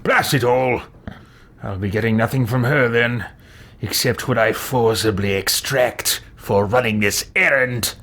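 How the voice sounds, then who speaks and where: A man's voice: medium pitch, a little slow, a bit bright, pitched below the performer's own voice. A man voicing a haughty, self-serving male mage in a fantasy roleplay game, in a pompous theatrical manner. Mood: irritated.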